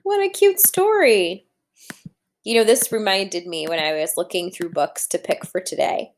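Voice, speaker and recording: Female teacher for children's read-aloud, medium-pitched, instructive, speaks straight to young listeners and prompts them with questions. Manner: instructive, addressing children directly, posing questions. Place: indoors at a computer.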